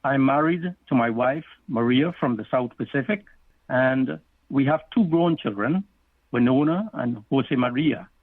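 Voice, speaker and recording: A man's voice: mid-range voice, dry recording. Man on a tinny phone line. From Guyana. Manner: measured, explanatory storytelling. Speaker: author and guest. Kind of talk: interview answers.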